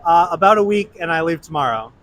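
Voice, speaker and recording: A man's voice: a little high, lively intonation, quick pace, fairly bright tone. A man from New York State, describing his trip eagerly and with many "uh"s and "um"s. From New York State, USA. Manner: enthusiastic, lists things with many fillers. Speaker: tourist interviewee.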